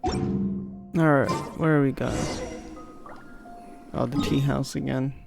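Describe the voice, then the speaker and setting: A man's voice: medium pitch, steady tone, takes it slowly. A man doing casual gameplay commentary as a streamer, blunt and slangy, muttering to himself. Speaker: game streamer. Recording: game music clearly behind.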